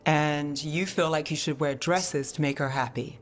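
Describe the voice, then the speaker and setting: An actor playing a self-assured, teasing fantasy mage in a video game, unruffled and probing. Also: male voice, a little high, fairly even intonation, normal speed, a bit bright, close to an everyday voice. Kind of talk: video game cutscene acting.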